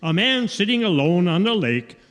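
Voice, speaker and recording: Man playing a folksy, animated, skeptical dispatcher. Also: high voice, normal speed. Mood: unhelpful, matter-of-fact. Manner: folksy, incredulous, questioning. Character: skeptical, unhelpful, folksy small-town emergency dispatcher. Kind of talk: old-time radio comedy sketch acting. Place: theatre stage. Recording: echoey theatre hall with long reverb.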